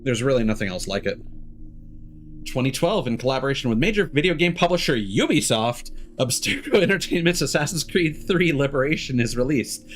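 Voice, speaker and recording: A man's voice: mid-range voice, expressive, fairly quick. A man streaming a game, reading text aloud and adding cheeky, sardonic asides. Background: music.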